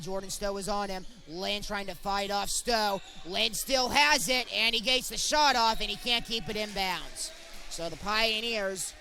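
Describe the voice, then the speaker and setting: High-pitched young male basketball play-by-play announcer. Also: bright, forceful tone, a little hiss or hum. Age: young adult. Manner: narrates action in short clipped present-tense phrases. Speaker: play-by-play sportscaster. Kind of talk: live college basketball play-by-play commentary.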